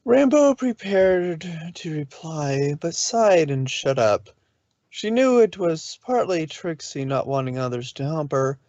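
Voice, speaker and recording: A man's voice: a little high, very animated, slow, a little mellow, no room sound. A man narrating fan fiction from a script, on a dull, lo-fi recording.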